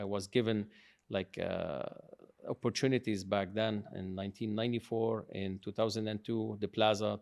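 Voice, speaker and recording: Middle-aged guy rambling through old memories, lowish voice, keeps restarting himself. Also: creaky. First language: English. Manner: rambling, restarts often, proud reminiscing. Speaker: guest, father of the host. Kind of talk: storytelling in a casual conversation.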